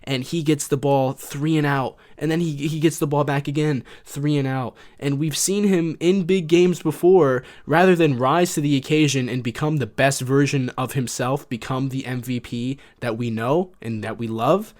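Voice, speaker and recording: A man's voice: mid-range voice, moderate pace, few pauses, darkish tone. A man co-hosting a sports podcast, talking casually and making his case conversationally.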